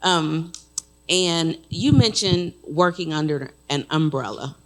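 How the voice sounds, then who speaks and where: A woman's voice: sits low, animated, takes it slowly. A woman from the audience sharing a rambling, personal comment at a panel. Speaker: audience member.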